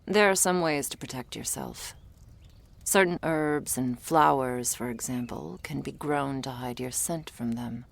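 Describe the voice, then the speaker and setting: A woman performs a battle-tired monster huntress with an extremely deep voice for a woman. It is husky and breathy, with a heavy gravelly creak. She is guarded and sardonic, giving curt orders straight to the listener in an intimate audio roleplay. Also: measured pace, exaggerated voice. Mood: instructive, wry. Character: gruff, world-weary female monster hunter, curt and guarded.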